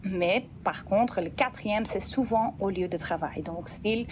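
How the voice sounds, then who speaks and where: A woman's voice: mid-range voice, a little slow, a little hiss or hum. A woman whose first language is Dutch, speaking French with a slight Belgian Dutch accent, explaining her program in a radio interview with enthusiasm and many restarts. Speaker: guest, program representative. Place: radio studio.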